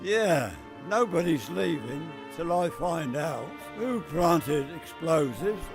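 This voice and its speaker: Man doing a cool secret agent, wry, clipped commands, high lively voice, music behind. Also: slow. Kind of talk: amateur radio drama acting.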